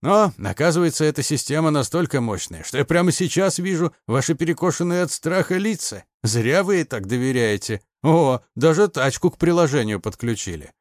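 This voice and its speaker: Мужчина играет насмешливого мстителя на телефоне. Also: male voice, a little high, expressive, a little fast, few pauses, no room sound, studio quality, pitched above the performer's own voice, natural voice. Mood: gloating, taunting. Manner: sneering, taunting. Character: menacing mocking male avenger on the phone.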